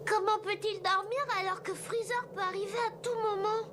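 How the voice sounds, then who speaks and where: A woman's voice: extremely high pitch, fairly even intonation, normal speed, background noise. A woman voicing an earnest, anxious little boy warrior in a French anime dub. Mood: dismayed. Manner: earnest, breathless, dramatic. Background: anime soundtrack music.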